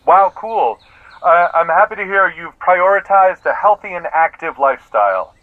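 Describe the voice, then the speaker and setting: An actor playing an eager, earnest out-of-work actor in a comedic audio drama, excitable and halting, taking himself very seriously. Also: male voice, sits high, dry recording, narrow, tinny audio. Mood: self-consciously upbeat. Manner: excitable, halting, self-serious about acting.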